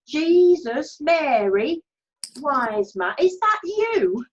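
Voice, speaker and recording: A woman's voice: high-pitched, sing-song, extremely slow, lo-fi sound, full character voice. A woman voicing a sweet little girl in a school nativity, in an amateur play reading. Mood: childlike.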